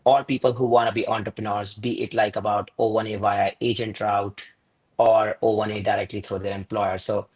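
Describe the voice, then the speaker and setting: Flat, low-voiced male host. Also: a little fast, husky. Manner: casual, hesitant, many fillers. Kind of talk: webinar interview.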